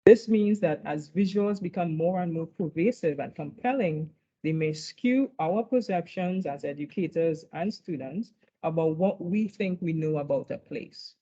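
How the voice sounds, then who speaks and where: Academic woman presenter, measured delivery with "right?" check-ins, mellow tone, dull lo-fi recording. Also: sits low. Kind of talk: conference talk walking through slides.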